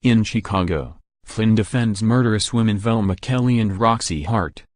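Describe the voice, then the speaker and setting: A man narrating entertainment news in a steady, scripted read with no fillers. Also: lowish voice, normal speed, no room sound, studio quality.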